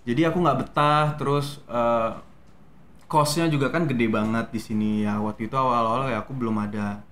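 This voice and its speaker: A young man from Medan with a softened Medan Indonesian accent, a guest actor telling his story in a relaxed, hesitant way with many fillers. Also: mid-range voice, moderate pace. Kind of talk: casual interview talk.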